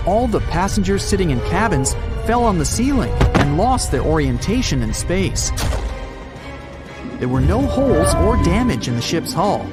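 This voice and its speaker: A man narrating a scripted documentary story in a clear, dramatic storyteller's delivery, with music behind him. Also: fairly high pitch. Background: background music.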